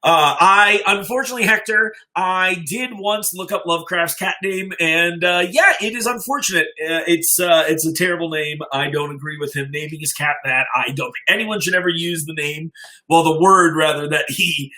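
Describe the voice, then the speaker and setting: Bright-voiced man chatting as livestream host. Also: fairly high pitch, moderate pace. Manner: informal, chatty, appreciative. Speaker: livestream host and story reader. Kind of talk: casual livestream chat.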